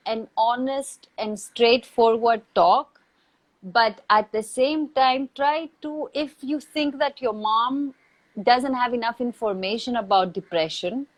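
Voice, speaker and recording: A woman who is not a native English speaker, giving reflective advice in a thoughtful, measured way with deliberate pauses. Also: on the high side, poor audio. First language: not English or Hindi. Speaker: acting teacher, interview guest. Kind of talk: reflective advice in a live online Q&A.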